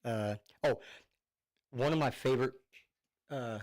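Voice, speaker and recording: A man's voice: mid-range voice, slow pace, darkish tone. A middle-aged man with a northern US accent, a singer guest on a radio show, chatting casually and rambling with many fillers and restarts, heard over a phone line. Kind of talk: casual radio interview chat. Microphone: Bluetooth phone line.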